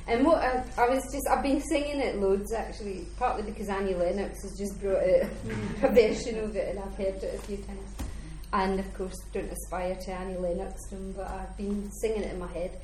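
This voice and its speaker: A woman giving an informal talk as a visiting Buddhist speaker, chatty and self-deprecating, her voice slightly croaky. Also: medium pitch, fairly even intonation, a little fast, few pauses. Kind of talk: informal talk to a small gathering. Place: meeting room at a Buddhist centre. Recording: echoey room, faint background noise.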